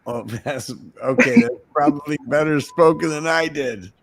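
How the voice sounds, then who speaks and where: A man's voice: fairly high pitch, moderate pace, a crowd in the background. A man with a Massachusetts accent hosting a casual voice chat, teasing and laughing often.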